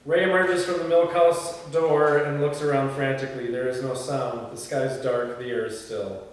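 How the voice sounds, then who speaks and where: A man's voice: medium pitch, steady tone, moderate pace. Echoey, distant man reading a script. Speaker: stage-direction reader at a table read. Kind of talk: scripted narration and casual discussion at a script table read. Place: indoor room, reverberant, mic at a distance. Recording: echoey room from far off, faint noise.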